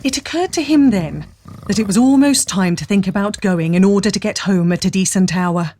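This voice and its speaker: A woman's voice: mid-range voice, a little fast, dark tone. A woman reading scripted story narration as the narrator of an audio drama.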